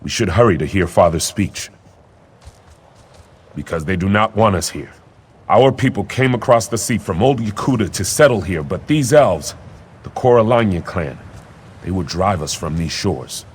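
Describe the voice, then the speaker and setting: An actor playing an eager, loyal young warrior prince in a video game, speaking in formal, earnest phrases. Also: male voice, low-pitched, animated, normal speed, breathy edge. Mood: fierce, resolute. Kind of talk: video game character acting.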